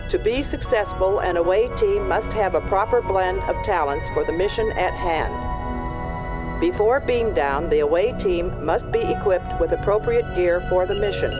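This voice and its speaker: A formal, measured female starship guide, acted by a woman delivers a video game tutorial. The pitch is medium and loud music plays underneath the calm instruction. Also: close to an everyday voice. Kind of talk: scripted tutorial narration.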